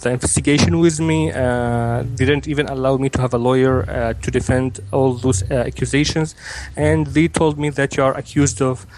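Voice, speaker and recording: A man's voice: medium pitch, fairly even intonation, normal speed, a little mellow, slight background noise, low-quality recording. Hesitant young man on a remote line. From Egypt, raised in Kuwait. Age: 20s. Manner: hesitant, many fillers and restarts. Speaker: interview guest. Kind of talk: remote interview.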